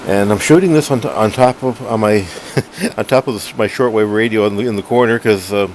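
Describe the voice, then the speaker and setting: A middle-aged man giving a casual, unscripted hobbyist demo at home, halting and rambling as he corrects himself. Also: lowish voice. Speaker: hobbyist collector reviewing a radio. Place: room at home, indoors. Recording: noisy room with steady background hum.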